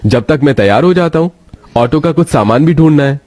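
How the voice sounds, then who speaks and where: A man's voice: fairly high pitch, lively intonation, a little fast, a little mellow, pitched above the performer's own voice. A man voicing an easygoing young auto-rickshaw driver, a husband and son in a story, speaking in a familiar way. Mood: casual.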